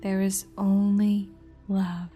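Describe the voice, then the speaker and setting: A woman leading a guided meditation in a soft, breathy, near-whispered voice, gently, with long pauses between short phrases. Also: mid-range voice, flat delivery. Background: music.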